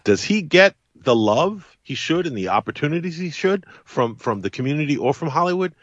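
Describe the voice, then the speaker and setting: A man's voice: fairly high pitch, big pitch swings, a little fast, no room sound, muffled recording. A man speaking as a guest in a casual conversation, emphatic and repeating phrases for stress. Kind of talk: casual chat.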